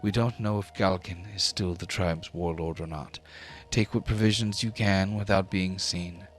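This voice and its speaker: A man's voice: deep voice, steady tone, normal speed, close to an everyday voice. A man voicing a solemn prophetic mother deity of a giant tribe in a tabletop game, speaking in grand, ceremonial pronouncements. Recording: music under the voice.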